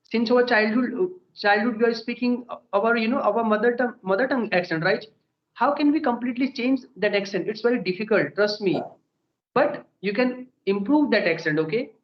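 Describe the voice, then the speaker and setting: A man's voice: high voice, normal speed. A young man with an Indian accent talks through a casual English conversation, earnest and full of little "okay" check-ins. The sound is dull and muffled, with a noticeable echo from the room around him. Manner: earnest, peppers speech with "okay" and "you know". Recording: dull echoey room recording.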